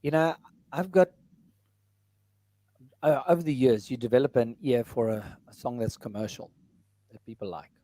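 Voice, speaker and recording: A middle-aged man with a baritone voice, talking casually about his music in an interview, rambling with many fillers and restarts. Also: animated, moderate pace, long pauses, warm tone, dry recording. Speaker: musician and entertainer.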